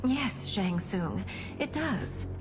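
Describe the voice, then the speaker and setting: A woman's voice: mid-range voice, animated, drawn-out words, music in the background. A woman playing a proud, cunning young warrior princess in a video game cutscene, speaking formally and firmly. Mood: composed, guarded.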